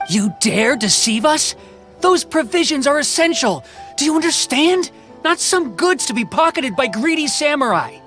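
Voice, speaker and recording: A woman's voice: high voice, sing-song, normal speed, fairly bright tone, faint music behind. An actress playing a stern, suspicious village woman who makes indignant demands in a video game. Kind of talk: video game cutscene dialogue.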